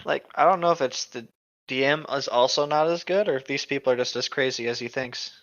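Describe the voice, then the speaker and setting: A fast-talking man with a higher, sharp-edged voice on a slightly dull, lo-fi mic. He reads stories and comments casually as a co-host. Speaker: co-host reading online stories. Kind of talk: casual chat and story reading. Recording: dull, lo-fi microphone sound.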